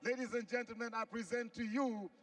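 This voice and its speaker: Male ringside host, high voice, polite and eager, heard from a distance. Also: fairly even intonation, fairly quick, fairly bright tone. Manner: polite and eager, many "sir" and "please". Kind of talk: live event hosting. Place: arena. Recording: distant mic at a live event.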